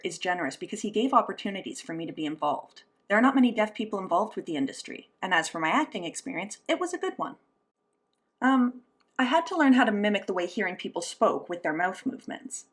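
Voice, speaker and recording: A woman gives a spoken interpretation of a signed interview, working as an interpreter. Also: medium pitch. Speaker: sign language interpreter voicing an interview.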